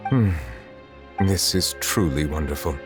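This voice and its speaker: A very low male voice as a solemn game magistrate. He is formal, measured and restrained, carrying quiet dignity, with music clearly playing behind him. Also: animated, full character voice. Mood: calm. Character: grave, composed male Chief Justice, formal and dignified. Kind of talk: video game voice acting.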